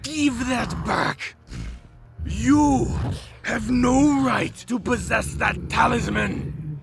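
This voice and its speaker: Greedy vampire villain, super gravelly, drags words out real slow, radio play with music. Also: male voice, very high-pitched, lively intonation, full character voice. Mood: imperious, menacing. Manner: imperious, menacing. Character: ugly, greedy male master vampire monster.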